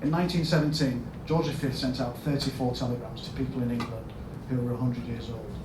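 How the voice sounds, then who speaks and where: A man with a Yorkshire accent giving a storytelling conference talk as a health service chief executive, asking the audience questions and rising to loud emphatic bursts. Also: mid-range voice, fairly even intonation, fast talker. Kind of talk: storytelling keynote speech. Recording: echoey hall, mic at a distance, background noise.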